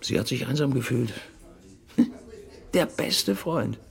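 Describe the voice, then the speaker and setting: Pushy spiteful acquaintance, gravelly, swinging wildly in pitch, insinuating, crime radio play. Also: male voice, expressive, takes it slowly, full character voice. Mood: spiteful, goading. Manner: insistent, goading, swinging between mutter and outburst. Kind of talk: German radio crime drama acting.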